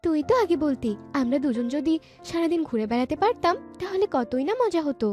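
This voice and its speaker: A woman's voice: sits high, animated, a little fast, a little mellow, soft background music. A woman voicing a chatty, argumentative young village girl in a cartoon. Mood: debating. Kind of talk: cartoon dialogue.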